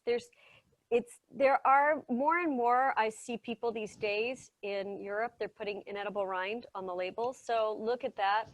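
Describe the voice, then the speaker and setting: A woman's voice: medium pitch, dry recording. A woman giving a casual, enthusiastic talk on how cheese is made, often correcting herself mid-sentence, over a video call. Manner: chatty, enthusiastic, self-correcting. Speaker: cheese expert presenter.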